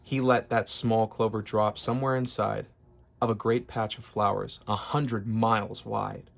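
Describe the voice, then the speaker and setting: A man's voice: on the low side, little pitch movement, measured pace, creaky. A man reading a rhyming children's story aloud expressively, as narrator. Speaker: storybook reader.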